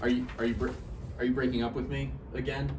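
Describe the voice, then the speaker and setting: Low-voiced woman playing nervous joking boyfriend Roger. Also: level tone, fast talker. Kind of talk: table read of a stage play. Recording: roomy, distant mic, faint noise.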